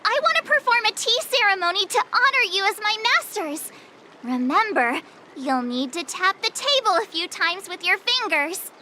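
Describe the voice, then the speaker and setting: A woman voicing an excitable, boastful teenage girl apprentice in an English video game, chirpy, playful and dramatic. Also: very high voice, normal speed, background noise. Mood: enthusiastic.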